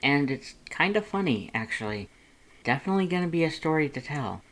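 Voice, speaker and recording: An affectionate male skeleton monster from an audio story, voiced by a narrator, pitched up from the narration. He purrs and murmurs endearments, flirty and soothing. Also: very animated, a little fast, fairly bright tone. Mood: reassuring. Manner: purring, murmuring, coaxing with endearments.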